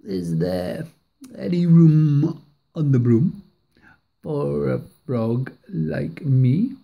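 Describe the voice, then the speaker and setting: A woman doing a deep, croaky, slow frog. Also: lively intonation, lo-fi sound, heavily acted. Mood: eager. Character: eager friendly male frog, croaky and slow.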